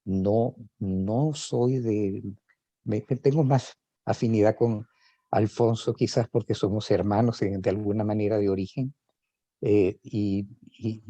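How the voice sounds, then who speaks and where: Dark, dull-sounding reflective older man. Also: fairly low pitch, no echo. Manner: hesitant, many fillers and restarts. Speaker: psychoanalyst sharing personal experience. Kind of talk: reflective spoken testimony.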